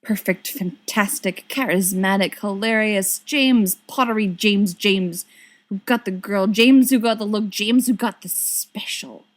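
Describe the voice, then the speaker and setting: A woman reading a story aloud as an expressive narrator. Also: mid-range voice, a little slow. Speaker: volunteer audiobook reader. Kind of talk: expressive scripted fiction narration.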